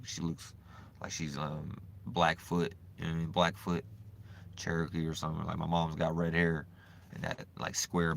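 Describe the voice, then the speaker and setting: Man, very deep voice, rambling and profane, loose casual talk. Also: vocal fry, a little hiss or hum. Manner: loose, profane and repetitive, full of "you know what I'm saying"; swings from animated bursts to low mumbling. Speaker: vlogger.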